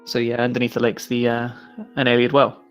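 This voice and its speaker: A man with an Irish accent speaks at a middle pitch in a relaxed, conversational livestream style. He talks as a team member explaining his own work, with quiet music behind him. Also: brisk, clean tone. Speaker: landscape designer on a game modding team. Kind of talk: casual livestream chat. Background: faint music.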